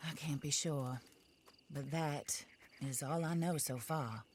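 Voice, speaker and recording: An actress playing a wealthy, resolute frontier widow in a video game, speaking with formal, composed, wry politeness. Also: female voice, low-pitched, slow, a little mellow, slight background noise. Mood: wry, gracious. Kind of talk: video game cutscene acting.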